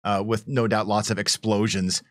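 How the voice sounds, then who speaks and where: A man's voice: on the low side, flat delivery, normal speed, a bit bright, dry recording, studio quality. A man chatting casually and unscripted as a game developer on a livestream panel.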